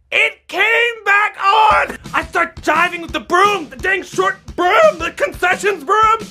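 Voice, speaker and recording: Young woman spinning a goofy story, high bright voice, unhurried, soft music under it. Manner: animated, bursts into shouts and laughter. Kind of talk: comic personal storytelling.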